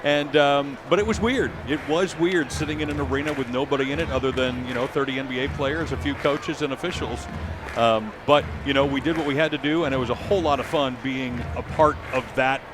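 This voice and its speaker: A man's voice: medium pitch, steady tone, brisk. An American man, a sports announcer, talking casually as an interview guest. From United States, from Michigan and Illinois. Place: TV broadcast booth at an outdoor ballpark. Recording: noisy outdoor ballpark booth. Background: clear ambient stadium noise.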